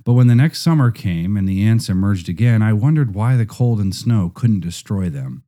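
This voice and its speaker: Deep, very mellow male narrator. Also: lively intonation, moderate pace.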